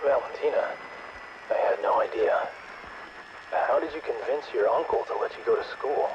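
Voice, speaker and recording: A man's voice: mid-range voice, normal speed, a crowd in the background, natural voice. Man acting an uneasy American scientist, muffled audio. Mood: awkward, unnerved. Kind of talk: dramatic game dialogue. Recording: dull, noisy radio-like log recording.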